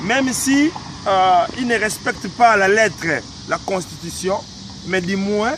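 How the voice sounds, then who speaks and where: A man's voice: high voice, takes it slowly. A Congolese man speaking earnestly to camera outdoors by a small lake, hesitant, with many fillers and restarts. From Democratic Republic of the Congo. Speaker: vlogger giving political commentary. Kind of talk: casual outdoor talk to camera. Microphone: camera held by a cameraman. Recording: outdoor video with loud traffic noise.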